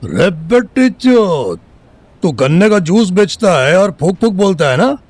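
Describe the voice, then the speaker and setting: A man acting a crude, threatening police sub-inspector in an audio drama, with a gruff manner and a Haryanvi accent. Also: high voice, sing-song, a little slow, a little mellow, no echo, exaggerated voice. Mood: suspicious, threatening. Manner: gruff, menacing interrogator, swearing freely. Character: crude bullying male Indian police sub-inspector, threatening and foul-mouthed.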